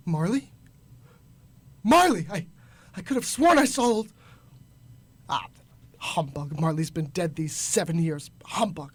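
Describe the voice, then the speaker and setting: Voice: medium pitch, a little hiss or hum. Someone doing a grumpy old miser guy for a radio play, stammers and blusters, voice jumps up and down a lot. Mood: scoffing, uneasy. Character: crotchety old male miser, frightened and scoffing. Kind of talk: radio drama acting.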